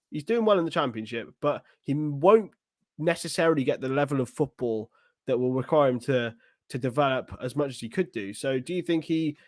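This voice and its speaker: A young man from England talks in a lively, swooping voice that sits a little high, playful and quick to argue back. His voice is recorded close and dry, with no room around it. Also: no echo. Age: young adult. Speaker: podcast co-host. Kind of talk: casual banter and football chat.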